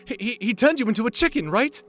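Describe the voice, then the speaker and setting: A performer playing an insecure, emotional young male wizard, earnest and halting, in a fantasy audio roleplay. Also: mid-range voice, lively intonation, a bit bright, soft background music, lightly acted. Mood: wary, startled. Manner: halting, stammering, pleading.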